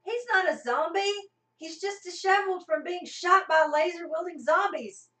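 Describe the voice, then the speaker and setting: A woman playing a brisk, matter-of-fact space crew member in a live radio play. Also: extremely high pitch, bright, forceful tone, recorded from a distance. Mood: exasperated. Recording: echoey room, off the mic.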